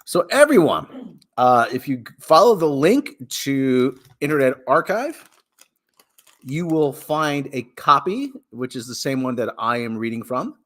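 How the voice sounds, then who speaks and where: A man hosting a casual live-stream podcast, chatting loosely before a reading. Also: unhurried. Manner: lively, chatty, talks baby talk to his cat in a high voice. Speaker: podcast host.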